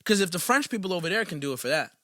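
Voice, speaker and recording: A young man co-hosts a casual podcast with a quick, punchy delivery and plenty of swearing. His voice sits fairly high and sounds bright and forceful. The mic is close and dry. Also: no room sound. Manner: animated, profane, emphatic. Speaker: podcast co-host.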